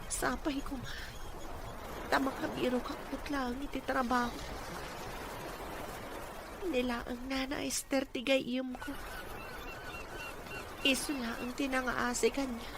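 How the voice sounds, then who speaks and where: A woman acting as a poor young woman in an audio drama. Her voice is high, humble and plaintive, earnest in every line. Noticeable noise sits behind it. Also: flat delivery, takes it slowly, pauses often.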